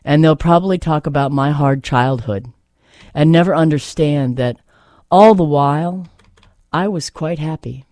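A man's voice: a little high, measured pace, darkish tone, no room sound. A man hosting in a reflective, casual way, talking and reading poetry aloud, repeating phrases for emphasis. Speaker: host reading poems aloud.

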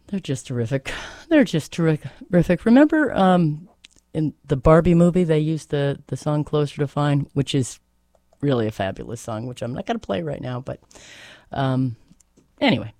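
Mellow, higher-voiced man, close and dry. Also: big pitch swings, normal speed, long pauses, no echo. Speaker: host reading poems aloud. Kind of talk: casual rambling talk and poetry reading.

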